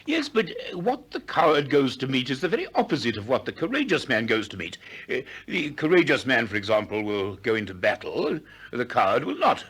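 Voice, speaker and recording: A dignified older philosopher in a radio play. His husky voice moves expressively up and down. He speaks formally, hesitating as he reluctantly gives ground. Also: mid-range voice, huge pitch swings, a little fast, a bit bright, exaggerated voice. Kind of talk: 1960s radio drama acting of philosophical dialogue.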